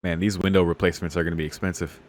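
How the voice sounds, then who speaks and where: A man's voice: low-pitched, little pitch movement, racing, no room sound. A man streaming a visual novel, reading it aloud and reacting casually in an irreverent, sweary way, with guitar music behind him. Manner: irreverent, foul-mouthed. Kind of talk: casual let's-play, reading game text aloud with reactions. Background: game music with guitar.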